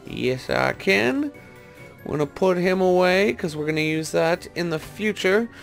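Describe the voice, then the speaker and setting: A man's voice: high voice, expressive, unhurried, gravelly, soft background music. A man giving casual gameplay commentary, thinking aloud and tentatively talking through each step as he goes. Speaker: gaming video host.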